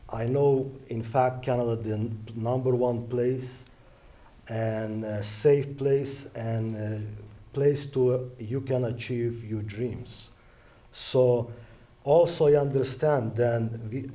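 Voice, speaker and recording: A man's voice: mid-range voice, mellow. A man from Western Ukraine with a Ukrainian accent, giving a debate opening statement as an election candidate in careful non-native phrasing.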